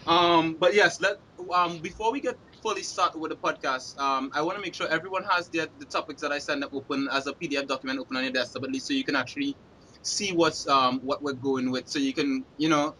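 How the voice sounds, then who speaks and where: A man's voice: a little high, fast talker, sharp tone, a little hiss or hum, low-quality recording. A man hosting a casual podcast, rambling with many ums and restarts. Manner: rambling, lots of fillers and restarts. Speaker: podcast host. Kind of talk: casual chat.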